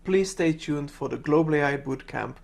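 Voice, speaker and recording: A man's voice: a little high, normal speed, gravelly. A man reading scripted announcements as host of an online event, in a polite, formal way.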